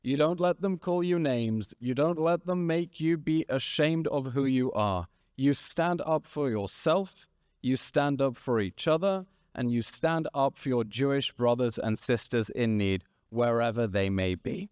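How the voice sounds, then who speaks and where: A man in his thirties addresses a conference audience from the stage. He speaks as a moderator in rousing, repetitive rhetorical phrases. His voice sits in a middle pitch and the sound is dry and close. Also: measured pace, a little mellow, clean tone, no room sound. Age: 30s. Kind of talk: stage speech and panel moderation.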